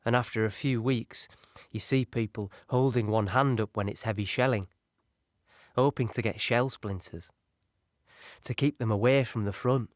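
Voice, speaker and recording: A man playing a weary young infantryman, recalling battle in a halting, conversational audio-play monologue. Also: medium pitch, steady tone, no room sound, clean studio sound, natural voice. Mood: grim, reflective.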